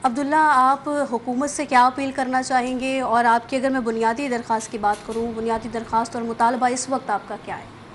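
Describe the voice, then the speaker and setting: Lady news interviewer, highish flat voice, little bit of noise behind. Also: brisk. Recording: faint background noise.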